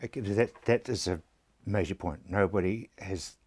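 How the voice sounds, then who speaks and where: A middle-aged New Zealand man hosts the conversation with a very low voice. He speaks in a reflective, plain-spoken way, drawing on his own experience. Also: moderate pace, darkish tone. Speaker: host. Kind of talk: interview conversation.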